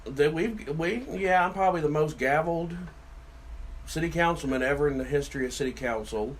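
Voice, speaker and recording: A man's voice: a little high, fairly quick. A middle-aged man co-hosting a casual talk podcast, telling rambling folksy stories in a big voice that carries. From Virginia, USA. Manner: folksy, rambling storyteller, loud voice. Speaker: podcast co-host, city councilman. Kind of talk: casual chat.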